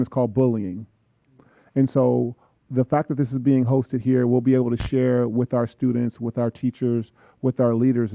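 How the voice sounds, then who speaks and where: A man's voice: medium pitch, creaky, no echo, highs cut off. A school board president, a man, giving earnest, appreciative welcoming remarks at a public hearing.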